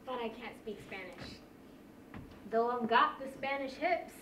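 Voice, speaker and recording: A young woman performing a monologue expressively and deliberately. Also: fairly high pitch, animated, a bit bright, recorded from a distance, slight background noise. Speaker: student performer. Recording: echoey room from afar.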